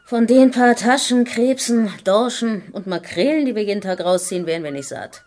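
An actress playing a hard-bitten island survivor in a radio drama, talking in a blunt, offhand, sardonic way. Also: female voice, mid-range voice, normal speed, a little mellow, close to an everyday voice. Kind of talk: German radio drama acting.

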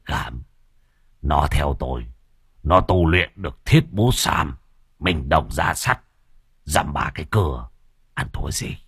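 A man's voice: a bass voice, expressive, slow pace, gravelly, pauses often, a bit bright, slightly rough, no room sound, studio quality, pitched below the performer's own voice, heavily acted. A man voicing a sly, boastful old male thief who scolds his partners in a spoken story.

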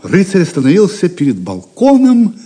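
An actor playing the storyteller, giving dramatic scripted narration of a chivalric tale in an audio drama. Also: male voice, high voice, wildly swooping pitch, normal speed, slightly rough, heavily acted. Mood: narrating. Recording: loud music behind the voice.

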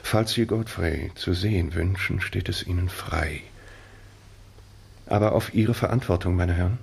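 An actor playing a stern, imperious old colonel with a gruff, husky, commanding voice in a 1960s German radio play. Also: male voice, low-pitched, unhurried, heavily acted. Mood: grudging, shaken. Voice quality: husky, gravelly.

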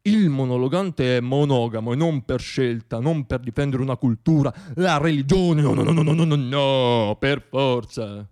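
A man's voice: mid-range voice, normal speed, hardly any pauses, darkish tone. A man performing a theatrical comic monologue as a storyteller, wry and self-deprecating, repeating words for effect.

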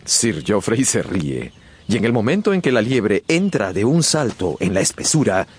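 A man narrating a radio novel in a dramatic, suspenseful style with measured phrasing. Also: fairly low pitch, big pitch swings, husky. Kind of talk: dramatic scripted audiobook narration.

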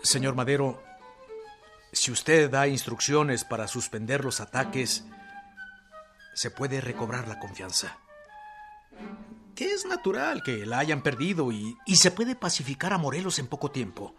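An actor playing an earnest government envoy in a radio drama, formal, respectful and insistent, with a Mexican accent. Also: male voice, medium pitch, sing-song, measured pace, pauses often, fairly bright tone, soft background music. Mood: earnest pleading.

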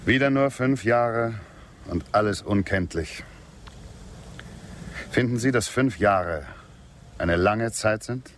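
A calm frontiersman in a radio play. He has a fairly low voice and talks plainly and pensively, full of nostalgia, with a faint vehicle rumble behind him. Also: slow pace, long pauses. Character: calm, nostalgic Western frontiersman leaving the wilderness. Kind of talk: German radio drama acting.

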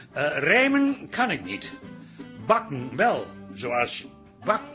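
An actor playing a sentimental, jealous man in love in a radio drama, halting and emotional. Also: high voice, sharp tone, full character voice.

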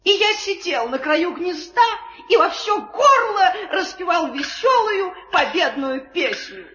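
Very shrill, excitable little bird. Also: female voice, measured pace, exaggerated voice. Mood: jubilant. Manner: gushing, theatrical, singsong. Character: excitable, silly little male songbird, pompously flattering. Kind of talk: Soviet audio fairy-tale acting on record. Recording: roomy, muffled old vinyl record.

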